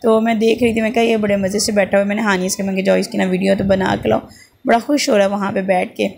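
A woman's voice: mid-range voice, little pitch movement, quick pace, gravelly, darkish tone. A woman in her thirties with a Pakistani Urdu accent, a mother narrating a home cooking vlog in chatty, rambling voice-over, her throat sore and a slight fever on her. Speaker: vlogger, mother. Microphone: voice-over at close mic.

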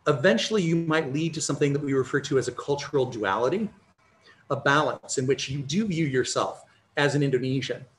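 A middle-aged American man giving a measured, explanatory academic lecture over a video call from a home office, using personal examples. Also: medium pitch, a little hiss or hum. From United States. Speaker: conference lecturer. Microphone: video call, computer mic.

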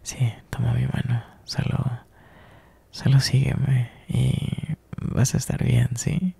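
Male ASMR roleplayer, very gravelly and breathy, soft mellow whisper, close-miked, flirty. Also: medium pitch, completely flat delivery.

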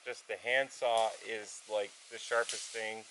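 A man giving relaxed, hands-on instruction while working outdoors in a forest camp, with soft chuckles as he explains. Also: lowish voice, measured pace, background noise. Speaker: canoe builder.

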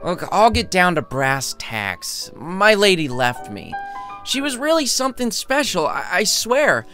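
A man's voice: sits high, very animated, unhurried, pushed and bright, soft background music, full character voice. A man voicing a heartbroken, self-pitying young male art student in a story, grumbling with melodrama and sardonic bite.

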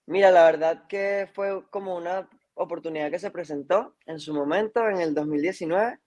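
A young man with a fairly high voice and a Venezuelan accent touched by a Chilean lilt. He talks casually as an interview guest, easygoing and thoughtful, over a video call. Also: moderate pace, darkish tone. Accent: Venezuelan, slightly Chilean lilt. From Caracas, Venezuela. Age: 20s. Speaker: interview guest, singer-songwriter. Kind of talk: casual chat. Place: indoor room on a video call.

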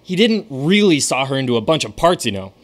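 A legendary stage magician, played by a man, who spins his past with a showman's flair and keeps his secrets close. His mid-range voice rises and falls with lively emphasis. This is a radio drama. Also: medium pitch, fairly quick, close to an everyday voice. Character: famous stage magician, now a ghostly guide.

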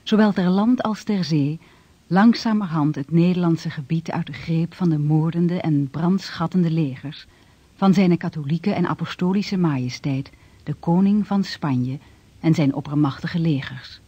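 A man's voice: a little high, unhurried, mellow, husky, no echo. A man narrating a historical radio play in a solemn, declamatory manner. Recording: dull vintage radio recording with music.

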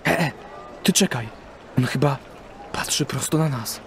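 An actor playing a bald, mocking, pipe-smoking street idler in an audio drama, with sneering colloquial talk. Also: male voice, mid-range voice, measured pace, background noise, close to an everyday voice. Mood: wary.

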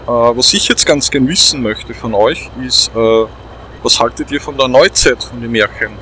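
A man's voice: mid-range voice, unhurried, bright, forceful tone, poor audio. Austrian guy hosting a gaming chat, rambles a bit, faint engine-like noise behind. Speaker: host of a gaming let's play. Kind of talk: casual chat commentary over a game.

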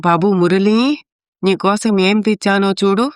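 A caring yet reproachful old matriarch played by a woman in a family story. Her voice is very clear and smooth, recorded dry. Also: medium pitch, a little slow, dry recording, close to an everyday voice. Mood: concerned. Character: elderly mother-in-law, caring but reproachful.

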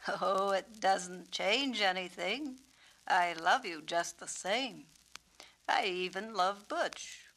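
A woman voicing a gentle, wise male peacock in a children's story, speaking slowly and humbly. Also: medium pitch. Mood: tender. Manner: slow, deliberate, natural and humble. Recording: noisy 1970s children's story record.

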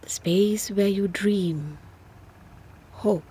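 A woman narrating a scripted guided meditation softly and gently, often close to a whisper, with long unhurried pauses. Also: medium pitch, a little hiss or hum. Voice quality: soft, breathy, often near whisper.

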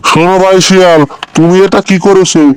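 A man's voice: high voice, little pitch movement, a little fast, loud music behind the voice, close to an everyday voice, poor audio. A man voicing a gullible, simple-hearted crocodile in a folk-tale cartoon, speaking plainly and earnestly. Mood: aggrieved. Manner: plain-spoken, naive, earnest. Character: gullible simple-hearted male crocodile, aggrieved at being cheated.

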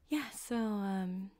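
A flirty, possessive female cyberpunk street surgeon played by a woman for an ASMR roleplay. Her voice is mellow and breathy, often near a whisper. She teases at an unhurried pace, very close and dry on the mic. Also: mid-range voice, no room sound. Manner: teasing, unhurried, intimate, giggly. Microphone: close binaural microphone, dry.

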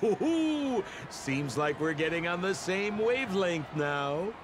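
An actor playing a smooth-talking, over-friendly rogue in a video game, theatrical and ingratiating. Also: male voice, high-pitched, sing-song, takes it slowly, heavily acted. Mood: playful, ingratiating. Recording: game music under the voice.

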